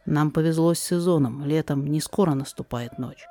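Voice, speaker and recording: A woman playing a wise, cautious male sorcerer in a rhymed audio drama, speaking in a quiet, measured, deliberate way. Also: low voice, no echo. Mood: wise, musing.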